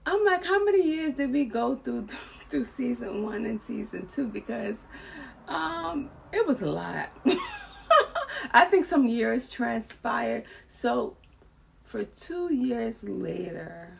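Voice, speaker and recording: Slow, gravelly woman chatting casually. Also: fairly high pitch, sing-song. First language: English. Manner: chatty, full of fillers and restarts, asks viewers to correct her. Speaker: series reaction vlogger. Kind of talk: casual talk to camera.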